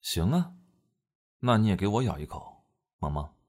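Man acting a husky, aloof young officer. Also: lowish voice, big pitch swings, normal speed. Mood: cool, teasing. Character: aloof, terse young male officer with a guarded soft side. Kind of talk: modern audio drama acting.

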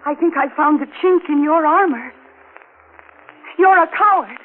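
A woman's voice: sits high, measured pace, phone-quality sound. An actress playing an intense, determined wife and mother fighting for her family in an old-time radio thriller. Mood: defiant. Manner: intense, pointed, challenging. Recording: thin, hissy old radio recording.

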